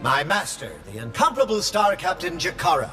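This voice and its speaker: A man's voice: high voice, big pitch swings, a little fast. A man playing a pompous, formal translator droid in a video game. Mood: proud, fawning. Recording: loud game music underneath. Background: music.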